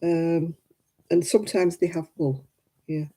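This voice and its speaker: Husky, dark-voiced woman in her seventies. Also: on the low side, fairly even intonation, unhurried, low-quality recording. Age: 70s. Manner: chatty and homely, laughs often, addresses viewers by name. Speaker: crafting livestream host. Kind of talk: casual chat reading out viewer comments.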